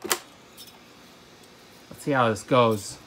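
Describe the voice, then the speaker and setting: A man giving a casual gadget demonstration as a hobbyist, halting, often repeating words and stumbling as he goes. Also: mid-range voice, heavy background noise. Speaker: hobbyist video presenter.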